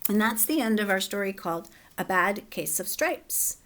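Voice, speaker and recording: A woman teacher reading a children's story aloud and then explaining it in a clear, measured way. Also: mid-range voice, expressive, a bit bright. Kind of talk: children's read-aloud and lesson.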